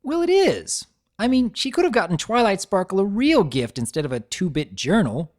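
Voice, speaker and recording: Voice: medium pitch, big pitch swings, moderate pace, pitched above the performer's own voice, lightly acted. A narrator voicing a brash, cocky female pegasus with a blunt, dismissive manner in a cartoon fan story.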